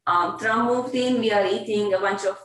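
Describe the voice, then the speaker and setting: A woman's voice: medium pitch, fairly even intonation, normal speed, over a noisy crowd. A woman from Hungary with a Hungarian accent, a religious sister chatting casually with many fillers and easy laughter. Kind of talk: casual group chat. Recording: very echoey room, distant mic, faint noise.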